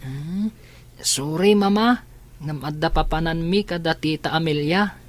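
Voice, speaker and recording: A woman's voice: deep voice, a little slow. A Filipino woman playing an unhappy, reluctant young son in a radio drama. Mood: apologetic.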